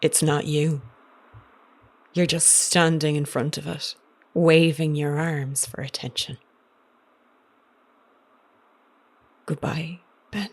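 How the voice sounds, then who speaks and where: A woman's voice: low voice, level tone, slow, exaggerated voice. An actress playing a grave woman reporter in an audio horror drama, questioning bluntly. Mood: bitter, final. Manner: probing, blunt. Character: grave woman reporter confronting a doomed loved one.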